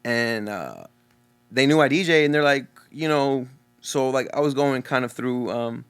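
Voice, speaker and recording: A man's voice: medium pitch, animated, unhurried, a bit bright, clean tone. A young man from Texas with a Texan accent who stutters openly, talking casually and earnestly as a guest DJ in an interview. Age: young adult.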